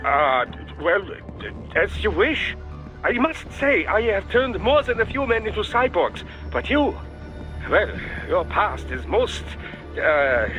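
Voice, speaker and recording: Game doctor: high-pitched, pedantic, courteous, deferential, faint music underneath. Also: male voice, big pitch swings, a bit bright, heavily acted, low-quality recording. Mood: hesitant, uneasy. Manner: halting, formal, deferential, pedantic. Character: polite, hesitant male cyborg doctor, scholarly and fascinated. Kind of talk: video game cutscene acting.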